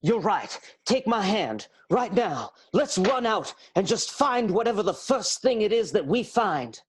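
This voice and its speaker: An actor playing a smitten, hammy strongman suitor, halting and flustered, in an improvised comic radio soap. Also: high-pitched, big pitch swings, husky, exaggerated voice. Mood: flustered, eager.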